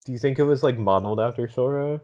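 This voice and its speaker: A man's voice: medium pitch, quick pace, a little mellow. A man in casual podcast chat, hesitant and slangy, on a slightly dull microphone.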